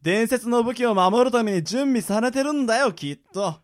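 A man's voice: extremely high pitch, normal speed. A man playing a flippant, easygoing young adventurer with casual, teasing speech in a comedic radio drama. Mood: wry.

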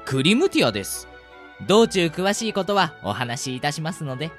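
A woman's voice: sits low, very animated, moderate pace, faint music behind. A woman acting in a radio drama as a cute, cheeky, politely bossy talking rabbit guide who speaks with theatrical, boastful courtesy. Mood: polite, pointed. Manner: theatrically polite, boastful, uses boyish pronoun.

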